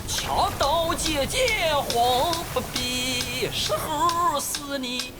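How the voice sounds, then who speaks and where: A wailing chanter of lament for a video game. The voice is high and very drawn-out, sweeping widely in pitch, under loud music. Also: female voice, expressive, exaggerated voice. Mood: plaintive.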